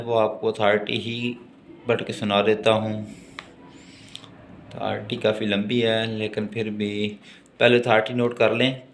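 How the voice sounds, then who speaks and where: Pakistani guy doing a slow lecture, reading notes out and explaining, lowish voice, bit of background noise. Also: a bit bright. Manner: slow, deliberate when reading English, explains in Urdu. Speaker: law lecturer.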